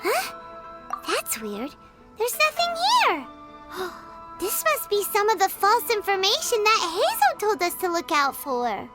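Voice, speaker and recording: A squeaky, sky-high little fairy girl, chirpy and nosy, her voice leaping wildly up and down and dragging out slowly. Game music plays loudly right under her in the mix. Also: full character voice. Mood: curious. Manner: excitable, gasping, exclaiming. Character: tiny floating female fairy companion, chirpy and nosy. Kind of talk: video game voice acting.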